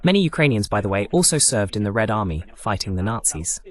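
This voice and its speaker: A man delivers live news commentary as a host. His pitch swings widely and animatedly from phrase to phrase, and he drops in pointed, sardonic asides as he explains. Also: sing-song, fast talker, dry recording. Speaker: host of a political commentary broadcast.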